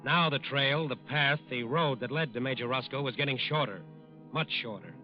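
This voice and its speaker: A man's voice: medium pitch, normal speed. A man narrating a 1940s radio crime drama from a script, emphatic and dramatic. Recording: dull old radio recording. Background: music.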